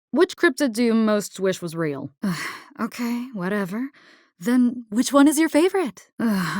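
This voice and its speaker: An actress voicing a tough, caring young military rescue engineer in a video game, salty, wry and resolute. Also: female voice, medium pitch, darkish tone, dry recording, studio quality, close to an everyday voice. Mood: wry, bantering. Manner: salty, plain-spoken, wry. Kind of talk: scripted video game character voice lines.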